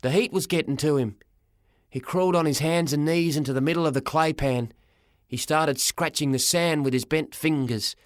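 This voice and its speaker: A man narrating a first-person story for an audiobook, dramatic and expressive, in short measured phrases. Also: on the high side, no room sound, clean studio sound.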